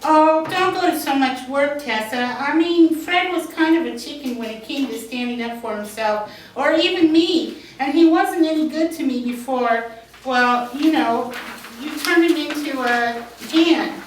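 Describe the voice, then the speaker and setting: A woman plays a nervous young countrywoman with a high, hesitant voice in an amateur screenplay reading. She sounds far from the mic in a very echoey room. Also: unhurried, a little hiss or hum. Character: young, nervous, pretty countrywoman, mildly apologetic. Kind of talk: amateur screenplay table read.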